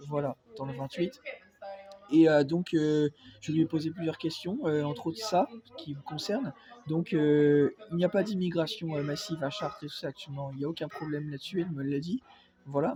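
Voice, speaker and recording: A young man with a cold, rambling through an opinion vlog full of fillers and insistent repeats. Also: fairly high pitch. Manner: hesitant, many fillers, insistent. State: has a cold. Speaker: vlogger.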